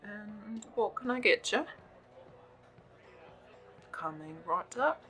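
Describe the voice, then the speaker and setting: A woman's voice: mid-range voice, lively intonation, slow pace, sharp tone. A woman playing a cheery, bustling pub waitress with breezy, familiar patter in an audio roleplay. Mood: busy, friendly. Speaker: voice actor. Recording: music behind the voice.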